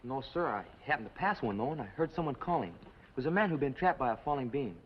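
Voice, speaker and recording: An actor playing an earnest, polite, plain-spoken young nephew in an old television western. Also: male voice, medium pitch, big pitch swings, fast, close to an everyday voice. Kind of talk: 1950s television western acting. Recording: muffled, noisy old TV western soundtrack.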